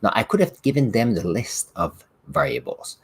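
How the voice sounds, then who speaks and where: A middle-aged man whose first language is French talks through his ideas in a low, slightly husky voice. His pitch moves a lot as he explains, and he gives examples with the odd restart along the way. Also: big pitch swings, normal speed. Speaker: podcast host and science teacher. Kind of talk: solo podcast talk.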